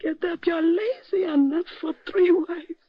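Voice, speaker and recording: An actress playing a mournful widow in a 1950s radio drama. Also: female voice, very high voice, steady tone, unhurried, no room sound. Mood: mournful, wailing. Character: grieving foreign widow, middle-aged or older, theatrically mournful. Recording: muffled old 1950s radio recording.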